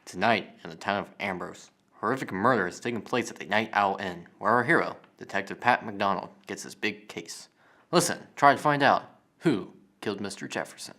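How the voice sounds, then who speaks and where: A man's voice: fairly low pitch, fairly quick, pushed and bright. A man playing a theatrical old-time radio announcer introducing a murder mystery drama. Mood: portentous. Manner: theatrical, portentous.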